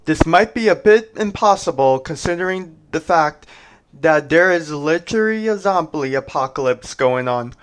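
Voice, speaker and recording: A man's voice: a little high, animated, normal speed, clear, resonant tone, natural voice. A man playing a skeptical medical researcher in an amateur zombie outbreak audio drama. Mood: skeptical, concerned.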